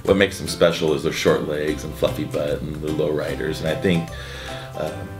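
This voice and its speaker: A man in his forties with a very low voice answers interview questions from his seat by a window. He talks haltingly, restarting his sentences and filling gaps with uhs. Music plays clearly beneath him. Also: flat delivery, reverberant. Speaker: event co-founder. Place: indoor room by a window, seated. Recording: music bed underneath.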